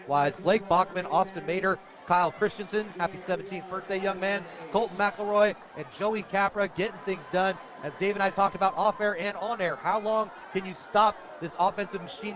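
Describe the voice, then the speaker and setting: High-pitched American football play-by-play man over crowd. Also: dull audio. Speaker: radio play-by-play announcer. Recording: live outdoor broadcast with crowd noise. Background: stadium crowd.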